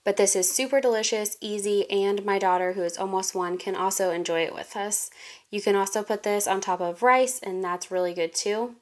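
A young woman narrating a casual cook-along in her home kitchen, chatty and relaxed, laughing at her own slips. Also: mid-range voice, moderate pace. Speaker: home cooking vlogger. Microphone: phone camera over the counter.

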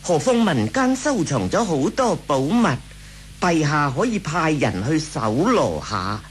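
An actor playing a sly, obsequious court eunuch with an oily, servile delivery in a Cantonese radio drama. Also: male voice, fairly high pitch, sing-song, a little slow, slight background noise. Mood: fawning, sly.

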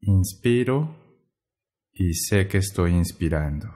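Slow, low-voiced man guiding meditation. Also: expressive. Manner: gentle, measured, pausing between short phrases. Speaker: meditation guide. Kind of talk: guided meditation narration.

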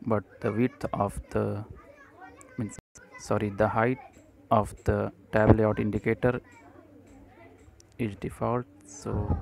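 Slow, mellow man giving a coding tutorial. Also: lowish voice, level tone, gravelly, poor audio. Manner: halting, short clipped phrases. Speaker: programming tutorial presenter. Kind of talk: unscripted screencast coding tutorial.

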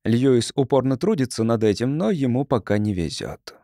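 A man narrating fiction as an audiobook reader, in an expressive storytelling style. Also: mid-range voice, moderate pace, dry recording, studio quality.

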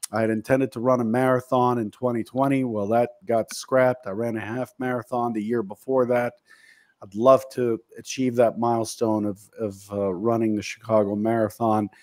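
A man's voice: mid-range voice, darkish tone. A man in his fifties hosting a casual video-call chat, talking earnestly and a bit self-deprecatingly about his goals. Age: 50s. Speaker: host. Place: home office. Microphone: studio mic on video call.

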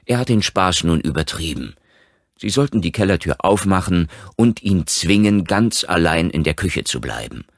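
A man playing an impatient, authoritative doctor who speaks briskly in an audiobook. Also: sits low, lively intonation, no room sound, clean studio sound. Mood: firm. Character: confident, impatient male small-town doctor.

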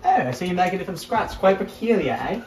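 A man's voice: high voice, sing-song, brisk, roomy sound, recorded from a distance. A teenager playing a prim, stern father who is guest judge in a student comedy sketch. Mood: disdainful.

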